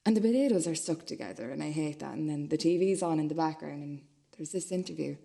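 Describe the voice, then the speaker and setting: An uneasy, obsessive loner acted by a woman rambles hesitantly through a radio play monologue. The voice is low, dark and mellow, with a husky breathiness. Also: fast talker. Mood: queasy.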